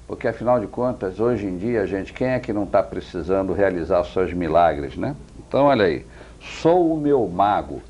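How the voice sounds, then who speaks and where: A man's voice: lowish voice, darkish tone. A Brazilian man hosting a chatty TV interview, effusive and addressing the viewers as friends. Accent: Brazilian Portuguese. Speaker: interviewer and show host. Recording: dull lo-fi TV sound.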